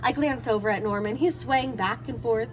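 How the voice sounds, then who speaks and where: A woman narrating a children's picture book in an expressive, animated read-aloud manner, acting out dialogue and sounds. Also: fairly high pitch, normal speed, background noise.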